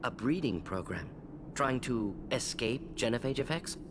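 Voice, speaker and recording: An actor playing a brisk, curious alien scientist in a video game, musing in clipped fragments with dropped words. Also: male voice, medium pitch, expressive, noisy background, natural voice. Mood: curious, analytical.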